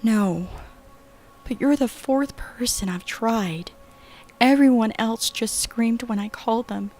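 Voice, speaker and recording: A woman's voice: a little high, slow, a little mellow, faint music behind. Frantic, frightened young woman. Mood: anxious, shaken. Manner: urgent, agitated, pleading. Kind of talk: horror audio drama acting.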